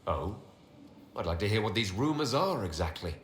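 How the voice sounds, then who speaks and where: An actor playing a self-assured leader of a fantasy order, speaking in a direct, confident way. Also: male voice, on the low side, very animated, normal speed, fairly bright tone. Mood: challenging. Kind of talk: video game dialogue acting. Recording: roomy game capture, faint background noise.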